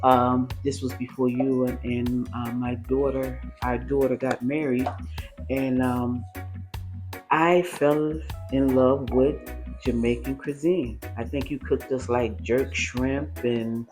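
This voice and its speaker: A man's voice: medium pitch, flat delivery, slow pace, faint music behind. An older man hosting a casual cooking chat, asking friendly questions with lots of fillers and affirmations. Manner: warm and curious, with frequent fillers and affirmations. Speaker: host.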